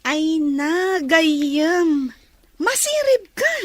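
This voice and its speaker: A woman's voice: very high voice, big pitch swings, extremely slow, dry recording, exaggerated voice. A woman playing a frank, nosy young best friend in an audio drama.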